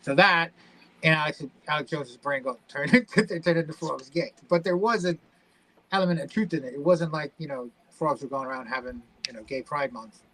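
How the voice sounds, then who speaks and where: Male co-host from Egypt, higher-pitched, wry and foul-mouthed in casual banter. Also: fairly quick, fairly bright tone, low-quality recording. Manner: blunt, profane, wry. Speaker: talk show co-host. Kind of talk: casual joking chat.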